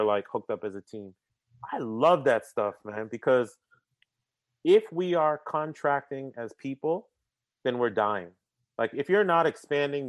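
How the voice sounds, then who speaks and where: Chatty guy on a podcast, mid-pitched voice, dry close mic, keeps restarting words and throwing in slang. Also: no echo. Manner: energetic, talkative, repeats words when starting sentences; uses casual slang like "man" and "bruh". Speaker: podcast guest. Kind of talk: casual interview conversation.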